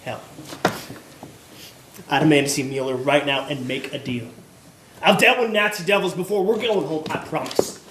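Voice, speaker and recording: A man plays a pragmatic, impatient Texan prisoner in a stage drama. He is brash and blunt, with a high-pitched voice. The recording is echoey with clear background noise. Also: moderate pace, long pauses, close to an everyday voice. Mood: impatient, insistent. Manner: pleading, blunt, cursing. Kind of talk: stage play acting.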